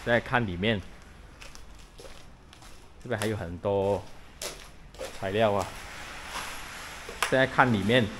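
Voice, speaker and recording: A man's voice: lowish voice, slow, long pauses, long reverb tail, noisy background. A man from Malaysia walking through his house under renovation, chatting casually as he points things out on a handheld camera. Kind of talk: casual walkthrough narration. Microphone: handheld action camera.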